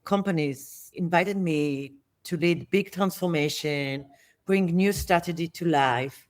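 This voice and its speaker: A woman's voice: on the low side, slow. Middle-aged woman, hesitant second-language speaker. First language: Hebrew. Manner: hesitant, searching for words. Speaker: guest, managerial therapist. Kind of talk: interview answers, personal story.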